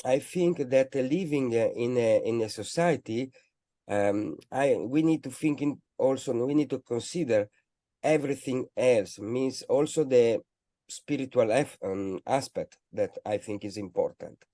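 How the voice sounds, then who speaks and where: A man hosting a radio interview, speaking haltingly with lots of fillers and restarts. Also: mid-range voice, warm tone, no room sound, clean studio sound. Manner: hesitant, halting phrasing with many fillers. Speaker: radio host.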